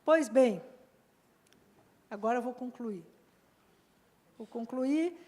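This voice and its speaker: A woman delivers an academic law talk in a high voice. She is earnest and lifts her voice on the points she wants to stress. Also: animated, slow, darkish tone. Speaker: law professor and panel speaker. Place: seminar hall.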